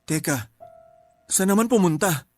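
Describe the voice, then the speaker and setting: A man's voice: high-pitched, a little slow, no echo, soft background music. An actor playing a cautious, watchful young man who sees ghosts in a Filipino radio drama, explaining things warily. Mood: puzzled.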